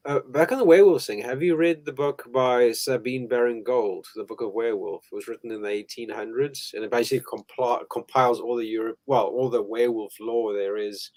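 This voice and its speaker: A man's voice: mid-range voice, fairly quick, few pauses, a little mellow. Man rambling through an explanation. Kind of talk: casual interview chat.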